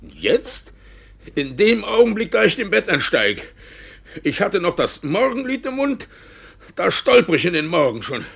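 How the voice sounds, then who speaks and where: Casting: battered rogue of a country judge, husky, evasive, swinging wildly from mutter to bluster, classic radio drama. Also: male voice, high voice, sing-song, heavily acted. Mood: evasive, grumbling. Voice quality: husky, gravelly. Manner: halting, muttering, then suddenly loud. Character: battered, sly, grumbling village judge, evasive and groaning. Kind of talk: 1950s German radio play acting.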